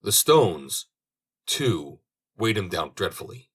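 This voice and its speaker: Husky man reading a fairy tale expressively. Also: low-pitched, big pitch swings, slow pace, a bit bright. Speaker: audiobook reader. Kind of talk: expressive storybook narration.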